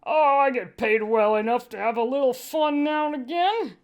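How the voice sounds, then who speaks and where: A woman acts out a male sci-fi audiobook villain, an officer with cheerful cruelty. He cajoles and taunts with great self-importance, pitched a little above narration. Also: moderate pace, a little mellow, smooth, natural voice. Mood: cheerful, smug. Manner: pompous, cajoling.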